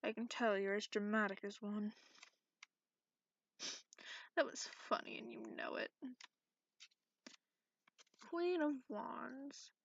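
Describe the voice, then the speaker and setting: A woman voicing a cranky, sarcastic, centuries-old dragon in a soft-spoken ASMR roleplay, haughty and grudging. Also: a little high, lively intonation, takes it slowly, vocal fry, long pauses, no echo, full character voice. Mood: mocking, grudging, then measured reading. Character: cranky sarcastic centuries-old dragon who looks young, reading tarot. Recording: dull lo-fi microphone sound.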